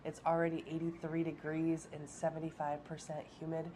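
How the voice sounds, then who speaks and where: A woman's voice: low voice, monotone, fairly quick, warm tone, a little hiss or hum. A woman co-hosting a travel vlog with a stuffed-up nose from a head cold, chatting casually with lots of ums. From American Midwest. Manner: chatty, frequent ums and uhs.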